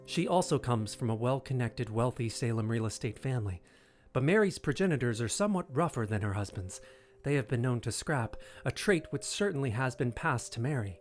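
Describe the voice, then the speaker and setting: A man's voice: mid-range voice, animated, a little fast, faint music behind. A man narrating an audio drama in a scripted, dramatic storytelling style.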